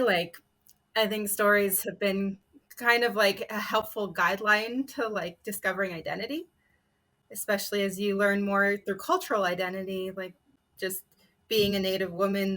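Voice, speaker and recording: A woman from the United States reflecting casually and personally on a panel, informal and a little halting. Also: mid-range voice, a bit bright. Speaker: storyteller panelist.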